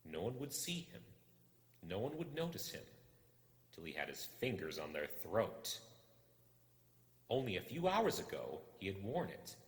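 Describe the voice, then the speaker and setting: A man narrating a suspenseful story in a deliberate, theatrical manner. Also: sits low, huge pitch swings. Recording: echoey room with long reverb.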